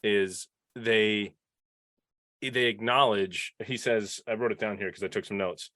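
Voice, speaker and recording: A man's voice: fairly low pitch, fairly even intonation, a little slow, sharp tone, dry recording, clean studio sound. A man talking casually as a podcast panelist, often restarting the beginnings of his sentences. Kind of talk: casual panel discussion of a TV series.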